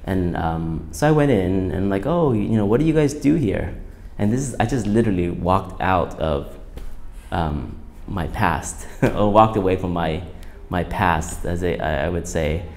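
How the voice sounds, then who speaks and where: A man, answering interview questions in a relaxed, thoughtful way, full of fillers and restarts. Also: deep voice, a little slow. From Southern California. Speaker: fashion designer, guest. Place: studio with plain backdrop, seated at table.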